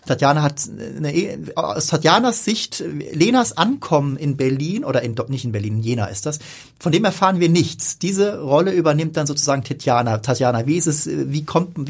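A man's voice: on the high side, a little mellow, breathy edge. A man discussing a novel as a literary critic, thoughtful, with frequent hesitations and restarts. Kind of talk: book discussion.

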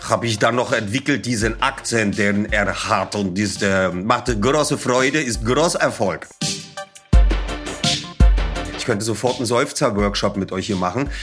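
A man's voice: on the low side, normal speed, hardly any pauses. A man, a voice actor, telling playful anecdotes in an interview. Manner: playful storyteller. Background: music.

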